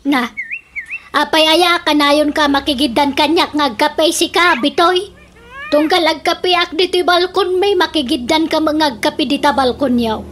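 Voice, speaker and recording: Casting: actress as nosy, quarrelsome village woman, Ilocano accent, very high voice, animated comic radio drama, slight noise. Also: a little slow. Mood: scornful, bickering. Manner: animated, teasing, bickering. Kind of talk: comedic radio drama acting.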